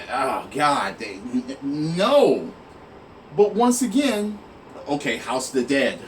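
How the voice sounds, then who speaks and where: A man giving an exasperated, emphatic, profanity-laced opinion rant to his online viewers. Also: mid-range voice. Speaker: online video host. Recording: noisy, distant mic, room sound.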